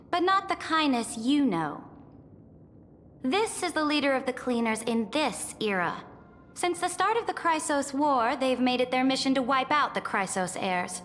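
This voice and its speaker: A woman's voice: high-pitched, fairly bright tone, slight background noise. A woman playing an imperious, conquering empress in a video game, haughty and commanding in a grand, formal, declamatory way. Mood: cold, contemptuous. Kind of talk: dramatic video game voice acting. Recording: long hall reverb, music behind.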